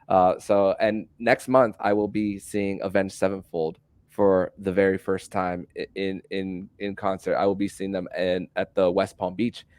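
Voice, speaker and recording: Male host in his thirties, low pitch, dry close sound, halting casual delivery. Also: little pitch movement, a little mellow, clean tone, dry recording. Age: 30s. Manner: halting, repeats words while asking questions. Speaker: podcast host. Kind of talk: casual podcast chat.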